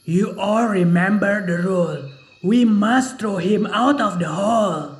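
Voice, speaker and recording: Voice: medium pitch, unhurried, lightly acted. A performer voicing an anxious jungle animal in an audio storybook, speaking in an urgent, stern manner. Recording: echoey room, faint music.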